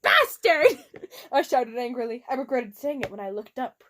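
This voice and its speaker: High-voiced young woman, giggly dramatic reader. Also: moderate pace, warm tone, a little hiss or hum. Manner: giggly, mock-dramatic, casual. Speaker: amateur fan fiction reader. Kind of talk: dramatic comedic reading with asides.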